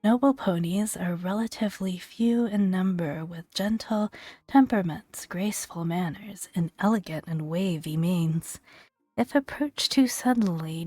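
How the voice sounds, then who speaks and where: A woman speaking softly and gently in a breathy near-whisper, narrating a video game as ASMR. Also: lowish voice, a little slow, no echo. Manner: gentle, hushed delivery. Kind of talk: soft-spoken ASMR video game commentary. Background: soft background music.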